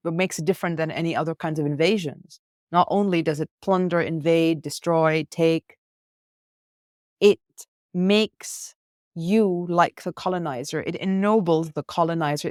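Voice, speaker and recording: A woman's voice: fairly low pitch, steady tone, moderate pace, a little mellow, no echo, studio quality. A woman who grew up in India, a podcast guest talking in a reflective, emphatic way and stacking ideas into lists, recorded at a hostel. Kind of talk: conversational interview. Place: hostel room.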